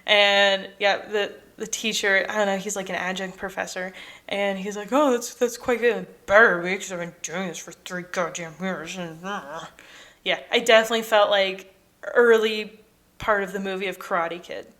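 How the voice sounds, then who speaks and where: A woman's voice: medium pitch, steady tone, normal speed, fairly bright tone. A young woman co-hosting a casual art podcast, swearing freely and laughing often as she tells stories. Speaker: podcast co-host. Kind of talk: casual chat.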